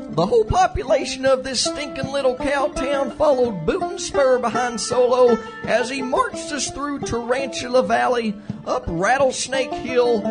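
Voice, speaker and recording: A man playing an earnest, bookish young sidekick who narrates a comic western audio drama with grand drama. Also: extremely high pitch. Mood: grandly narrating.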